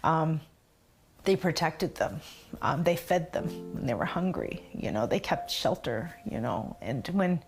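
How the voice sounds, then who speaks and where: Woman, slightly low pitch, reflective conversational storytelling, interview, soft background music. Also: expressive, measured pace. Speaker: documentary interviewee, community member. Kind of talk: documentary interview, personal community history.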